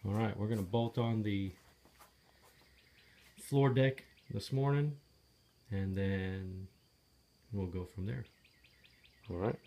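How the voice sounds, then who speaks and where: A man casually narrating, step by step, the assembly of a trailer he is building, talking in a plain, matter-of-fact way with some halting pauses, filmed on a handheld phone in a garage. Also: on the low side, warm tone. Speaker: DIY video maker. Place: garage or shop and outdoors by a trailer. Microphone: handheld phone camera.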